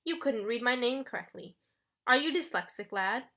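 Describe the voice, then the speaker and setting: A woman voicing an elegant, sinister male ferryman of the dead in an audiobook, with a British accent and a smooth, condescending drawl. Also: on the high side, expressive, normal speed, bright, forceful tone, voice pushed up. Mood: greedy, suspicious.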